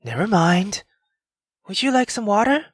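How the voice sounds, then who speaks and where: A woman's voice: mid-range voice, very animated, unhurried, dry recording, clean studio sound. A woman voicing a sensible, caring young woman in a visual novel, hesitant and mildly reproving. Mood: concerned, reproving.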